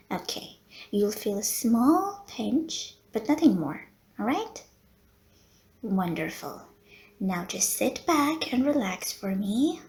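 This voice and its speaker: Unhurried, courteous lady vampire nurse, acted by a woman. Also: medium pitch, darkish tone. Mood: soothing, courteous. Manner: genteel, formal wording with coy hesitations. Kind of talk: roleplay audio acting, addressed to the listener.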